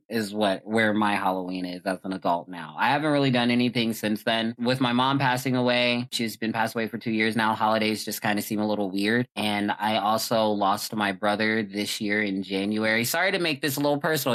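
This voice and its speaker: Young adult podcast host, medium pitch, super informal and rambly, just chatting about their life. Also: a bit bright. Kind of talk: casual chat, personal storytelling.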